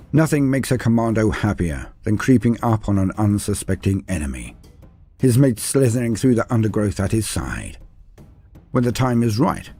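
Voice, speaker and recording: A man's voice: lowish voice, darkish tone, slightly rough. A man reading scripted fantasy war lore in a grandiose, theatrical way, addressing the listener formally. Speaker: narrator of a Warhammer lore guide. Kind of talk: scripted dramatic lore narration.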